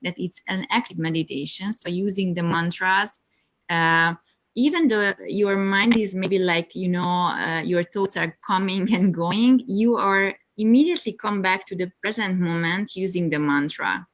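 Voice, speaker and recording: A woman's voice: lowish voice, measured pace. A woman whose first language is Hungarian, chatting in an interview about her yoga teaching, hesitant with many fillers and frequent laughs, on a video call. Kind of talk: casual interview chat.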